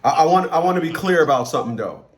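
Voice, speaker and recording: A man with a somewhat high voice hosts a casual advice consultation. He talks bluntly in colloquial language, often doubling up on words as he makes his point. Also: lively intonation, rapid-fire.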